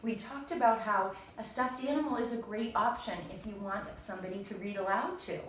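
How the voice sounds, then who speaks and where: A woman's voice: mid-range voice, brisk. A woman teacher giving a read-aloud reading lesson to young children, explaining things and addressing them directly. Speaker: primary school teacher. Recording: echoey room, distant mic, faint noise.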